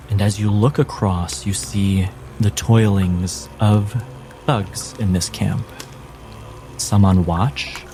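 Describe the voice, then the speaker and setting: A man game master narrating a tabletop game dramatically, with deliberate, suspenseful pauses. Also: on the low side. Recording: music behind the voice. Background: music.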